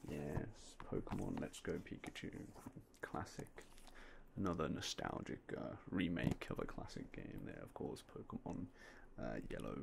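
A young man whispering softly and politely as a game shop clerk in an ASMR roleplay, close to a binaural mic. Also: extremely low pitch, very animated, normal speed, vocal fry, noisy background. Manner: quiet, whispery, polite shopkeeper patter.

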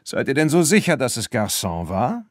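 A man's voice: medium pitch, big pitch swings, moderate pace, no room sound, clean studio sound, voice pushed up. Slippery, defiant horse dealer for children's audiobook, smug and falsely courteous, read by a male narrator. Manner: smug, brazen, mock-polite.

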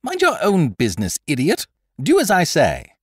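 A man voicing a cocky adult gentleman thief in an audiobook, with brisk, mocking, triumphant speech. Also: mid-range voice, very animated, dry recording, studio quality, pitched above the performer's own voice. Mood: gleeful. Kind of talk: audiobook character voice.

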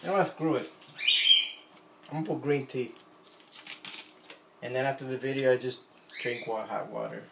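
Rambling Puerto Rican man, gravelly voice. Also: mid-range voice, normal speed, pauses often, a little hiss or hum. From Puerto Rico. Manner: rambling, self-deprecating. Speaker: vlogger. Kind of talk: casual chat while cooking. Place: home kitchen, indoors. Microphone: phone camera on counter. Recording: echoey kitchen with faint ambience.

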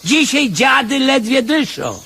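A man's voice: extremely high pitch, big pitch swings, slow pace, creaky, pushed and bright, a little hiss or hum, heavily acted. An actor playing a combative, sly male beggar who declaims, in a radio comedy drama. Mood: preachy. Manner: declamatory, folksy, cutting.

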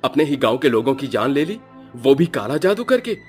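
A man's voice: mid-range voice, very animated, brisk, soft background music, voice pushed up, natural voice. A man voicing an indignant, righteous village headman in a cartoon, scolding dramatically.

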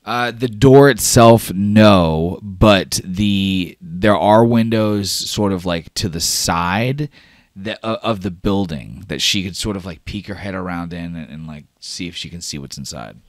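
A man's voice: low voice, measured pace, dry recording, clean studio sound. A man running a tabletop game as game master, narrating in a casual, rambling, profane way over a voice call.

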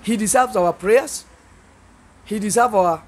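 High-voiced middle-aged Kenyan pastor. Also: male voice, unhurried. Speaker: pastor being interviewed. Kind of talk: earnest interview talk. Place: seated indoors in a small studio set. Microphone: handheld interview microphones held by the interviewer.

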